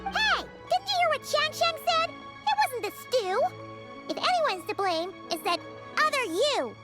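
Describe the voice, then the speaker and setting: Tiny game fairy, extremely high squeaky voice, childlike, chirpy, excitable, prominent background music. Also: female voice, a little slow, full character voice. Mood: indignant. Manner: refers to self in third person, perky. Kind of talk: video game character acting. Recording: studio voice with prominent music behind.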